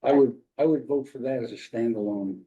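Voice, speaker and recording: A man with a deep, very dark and mellow tone argues bluntly in a committee debate. He sounds matter-of-fact and pushes his point without softening it. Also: fairly quick, highs cut off. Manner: blunt, argumentative. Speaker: committee member. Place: community meeting room.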